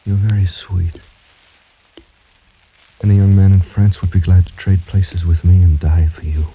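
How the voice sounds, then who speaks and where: An actor playing a proud, doomed young French gentleman in formal, courtly, brooding old-time radio drama style. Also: sits low, flat delivery, unhurried, slightly rough, exaggerated voice. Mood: tender. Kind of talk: 1940s-50s American radio drama acting. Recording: dull, muffled old radio broadcast recording.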